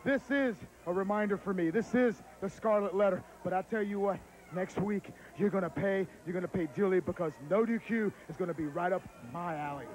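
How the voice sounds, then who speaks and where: A man's voice: high voice, fairly quick, a little mellow, low-quality recording. A male wrestler cutting an intense, vengeful promo about his next match. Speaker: professional wrestler.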